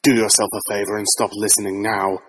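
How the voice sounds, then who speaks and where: A man's voice: fairly low pitch, level tone, a little fast, husky. A man reading a scripted, tongue-in-cheek podcast intro in a theatrical mock-warning manner. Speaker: show intro announcer. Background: music.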